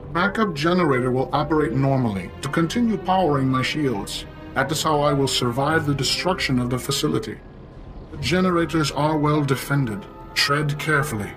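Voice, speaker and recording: An actor playing a cold, calculating artificial intelligence in a video game, speaking formally and matter-of-factly. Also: male voice, mid-range voice, over music, close to an everyday voice. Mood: calm, logical. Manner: formal, measured, matter-of-fact. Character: cold, calculating knowledge-seeking artificial intelligence, male-voiced. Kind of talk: video game cutscene acting.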